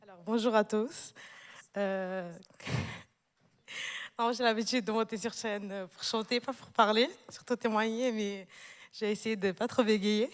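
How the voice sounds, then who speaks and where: A woman in her twenties from Portugal giving a personal church testimony on stage, hesitant, with many pauses and fillers. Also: mid-range voice, steady tone, big-room sound. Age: 20s. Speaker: newly baptized church member. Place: large church hall.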